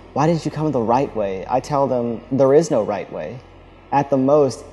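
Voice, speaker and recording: Earnest young man, mellow voice. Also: medium pitch. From Alabama, United States. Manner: earnest, reflective, pausing to restart phrases. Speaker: speaker telling his own story. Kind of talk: personal testimony speech.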